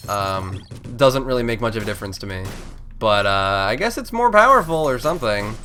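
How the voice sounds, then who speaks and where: A man's voice: fairly low pitch, expressive, brisk, sharp tone. A man with a congested, stuffed-up voice giving casual, rambling video game commentary, often interrupting himself and swearing freely. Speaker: let's play host. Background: video game music.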